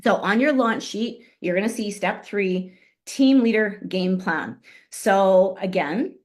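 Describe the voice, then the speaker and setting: A woman giving an upbeat motivational business training talk on a call, fighting a cough and often clearing her throat. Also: medium pitch, takes it slowly. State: coughing, voice strained. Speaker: guest speaker on a business call.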